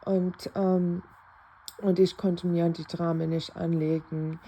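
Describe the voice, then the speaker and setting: A woman's voice: fairly low pitch, level tone, takes it slowly, mellow, slightly rough. A woman rambling casually in a spiritual vlog, repeating herself and restarting often, speaking German with an American accent. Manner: switches between English and German. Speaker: self-described novice clairvoyant.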